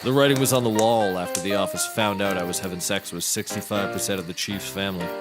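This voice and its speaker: An actor playing a boastful, washed-up international secret agent in a scripted comedy, talking in a bombastic, grandiose way. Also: male voice, deep voice, fairly even intonation, fast, over loud music, natural voice.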